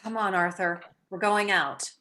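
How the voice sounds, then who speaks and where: An actress playing a poised, wry, clever young wife in a detective radio play. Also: female voice, mid-range voice, steady tone, measured pace, sharp tone. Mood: composed.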